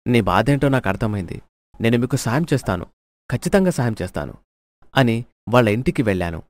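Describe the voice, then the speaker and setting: A man's voice: mid-range voice, animated, a little fast, dry recording, natural voice. A man playing a remorseful thief in a storybook tale, earnestly and apologetically explaining himself. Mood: earnest, regretful. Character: remorseful, earnest male thief telling his hard story.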